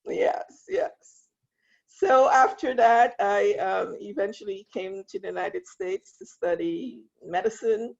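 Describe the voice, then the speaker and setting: A middle-aged woman from Guyana speaks in a husky, gravelly voice. She tells her story reflectively and laughs often. The video-call audio sounds muffled and lo-fi. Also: mid-range voice, expressive, slow pace. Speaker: guest, school leader. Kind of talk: interview, personal story.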